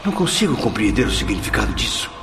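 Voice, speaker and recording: A man playing an anguished, exhausted and proud lost soul in a radio drama, with a gravelly voice. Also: on the low side, sing-song, fast. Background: music.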